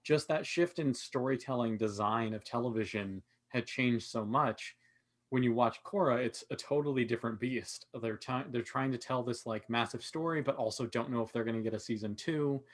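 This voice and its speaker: A man with a mid-pitched voice in a casual panel talk. He speaks as a co-host, laying out his ideas in an analytical, explanatory way. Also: moderate pace. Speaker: podcast co-host. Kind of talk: casual panel discussion of television.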